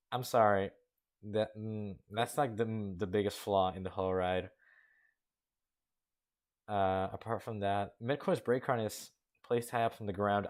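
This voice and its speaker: A young man hosting a casual contest review, rambling through frank critique with many fillers. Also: lowish voice. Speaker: host judging a coaster-building contest. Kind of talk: casual commentary over gameplay.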